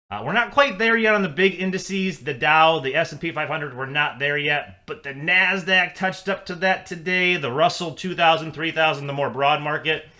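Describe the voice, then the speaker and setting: A man's voice: fairly high pitch, sharp tone. A man giving a casual, upbeat client update as a financial advisor, chatty with frequent fillers.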